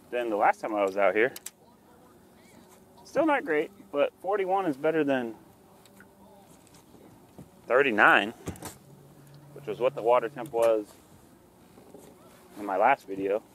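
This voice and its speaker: A man's voice: medium pitch, expressive, unhurried, long pauses. A man in his thirties, a fishing vlogger, talking casually outdoors on a small boat. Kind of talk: casual outdoor vlog talk. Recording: outdoor noise from boat on water.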